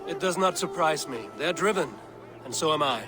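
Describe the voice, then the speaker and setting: An actor playing a stern, blunt male Viking warrior in a game cutscene, speaking in a steady, measured way. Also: on the high side, music in the background, a crowd in the background, close to an everyday voice. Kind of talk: video game cutscene acting.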